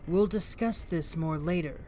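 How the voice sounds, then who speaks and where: An actor playing an authoritative police commissioner, diplomatic but stern, with formal and deliberate speech in an audio drama. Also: male voice, on the high side, dark tone, a little hiss or hum, lightly acted.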